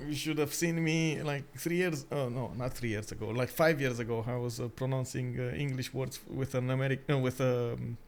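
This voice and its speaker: A man with a Romanian accent commentates a strategy game on his live stream, with a voice of ordinary middle pitch. He talks in a loose, chatty way, restarting sentences and laughing often. A faint hiss sits under the recording. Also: fairly even intonation, normal speed, hardly any pauses. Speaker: esports caster and stream host.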